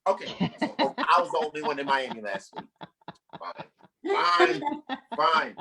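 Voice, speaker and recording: A man hosting a comedy show, hyping up comedians in slangy, excited introductions. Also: high-pitched, a little slow, gravelly, crowd noise. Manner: slangy, hyped-up. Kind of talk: hype emcee introducing comedians.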